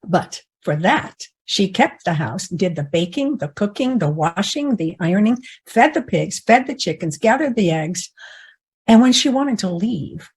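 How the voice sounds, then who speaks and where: An actress playing a sharp-tongued, protective frontier woman with an American accent, husky and blunt, in a Western radio drama. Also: mid-range voice, expressive, a little slow, low-quality recording. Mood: accusing. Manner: blunt, pointed questioning.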